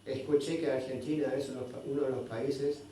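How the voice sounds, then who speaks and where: Mellow-voiced man teaching in an echoey hall. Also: mid-range voice, level tone, fairly quick. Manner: searching for words, constant "¿no?" tags. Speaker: spiritual teacher. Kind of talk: scripture class. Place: indoor temple hall. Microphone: microphone at a distance. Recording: very echoey hall, distant mic, faint noise.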